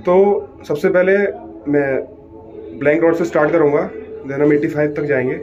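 A man's voice: on the high side, fairly even intonation, normal speed, darkish tone, lo-fi sound. A young man talking casually to viewers as a fitness vlogger, mixing in English gym terms. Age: young adult. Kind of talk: casual vlog talk to viewers. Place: small changing room, indoors. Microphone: phone held at chest height.

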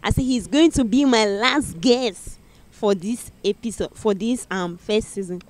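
A woman in her forties from Cameroon hosting a talk show, effusive and full of excitement, speaking into a handheld mic outdoors in a park. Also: medium pitch, unhurried, no echo. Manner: effusive, repeats excitement, laughs often. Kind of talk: enthusiastic interview hosting. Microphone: handheld microphone.

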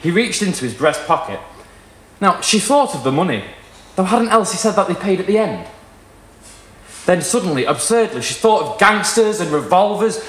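Young adult man, lively varied pitch, theatrical fiction reading, roomy live sound, mid-pitched voice. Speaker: story reader. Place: small indoor performance room with wooden wall.

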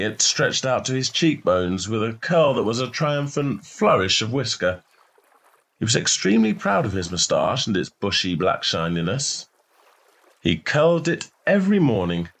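A man narrating a short story in a measured, expressive literary reading style. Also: mid-range voice, vocal fry.

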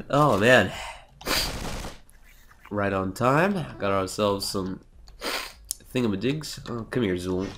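A man's voice: on the low side, expressive, takes it slowly, long pauses, pushed and bright. A young man with a cold, nasal and sniffly, giving casual, sarcastic let's play commentary and swearing now and then. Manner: sarcastic, self-mocking, swears.

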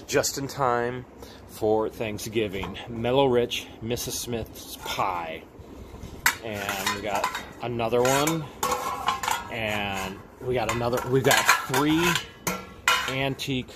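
A man's voice: medium pitch, heavy background noise. A man hosting a casual unboxing vlog, exclaiming and repeating himself as he talks directly to viewers. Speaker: vlog host.

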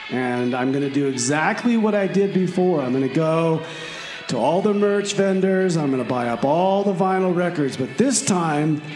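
A man's voice: fairly high pitch, lightly acted. A man playing a chatty, overconfident time-traveler leaving a breezy message in comedy radio theater. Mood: scheming. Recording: echoey hall reverb, loud music behind.

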